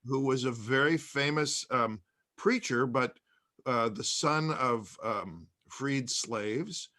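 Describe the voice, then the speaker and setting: A man speaks as a panelist in a conversational discussion. His voice sits at a middle pitch, and he explains things in halting phrases, pausing as he goes. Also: bright, forceful tone. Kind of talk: conversational discussion of old radio.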